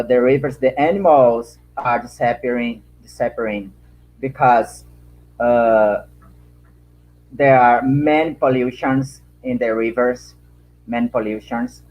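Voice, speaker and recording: Man, Brazilian Portuguese accent, non-native English, slow, halting, lots of fillers, mid pitch, muffled call audio. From Acre, Brazil. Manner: halting, many fillers and repeated words, searching for words.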